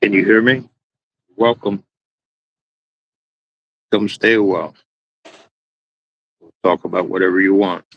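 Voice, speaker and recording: Deep raspy man chatting alone. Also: steady tone, a little slow, pauses often, dry recording, lo-fi sound. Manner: rambling, talks to himself while waiting. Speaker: host of a live chat room. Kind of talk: casual solo chat to an empty room. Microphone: small external mic.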